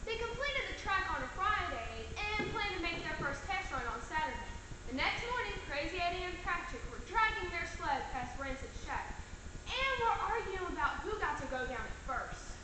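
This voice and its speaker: A woman's voice: extremely high pitch, big pitch swings, normal speed, far from the mic. A young woman reading scripted story narration in a reader's theater performance. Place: large echoey room, school performance. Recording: echoey, noisy stage recording from afar.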